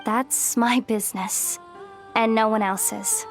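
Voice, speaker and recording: A woman's voice: on the high side, steady tone, takes it slowly, soft background music. An actress playing a loyal, kind-hearted, streetwise young woman in a video game, speaking in an earnest, plucky, slightly guarded way. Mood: defiant, guarded. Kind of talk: dramatic video game voice acting.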